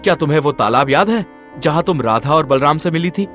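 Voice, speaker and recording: A man voicing a brave, determined young prince in an animated fairy tale, earnest and dramatic. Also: a little high, brisk, faint music behind. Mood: earnest questioning.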